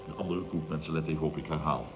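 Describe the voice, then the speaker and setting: An actor playing a pompous, self-satisfied middle-aged boss who exclaims and stammers when flustered, in old radio comedy style. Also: male voice, extremely low pitch, fairly even intonation, racing, soft background music. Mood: eager, gloating. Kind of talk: 1970s Dutch radio comedy acting. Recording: dull, noisy vintage radio recording.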